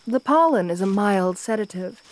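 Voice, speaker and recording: A woman's voice: medium pitch, lively intonation, moderate pace, natural voice. A woman playing an assertive, flirtatious vampire countess in an ASMR roleplay, speaking to the listener in a genteel, doting way. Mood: doting, coaxing. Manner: genteel, doting, uses pet names.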